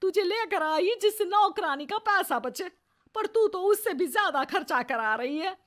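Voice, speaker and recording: A very high, piercing voice for a cruel cartoon mother-in-law. She is bossy and scolding, shouting her orders and taunts like a household tyrant. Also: expressive, unhurried, full character voice. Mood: furious, taunting. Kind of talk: exaggerated Hindi moral cartoon story.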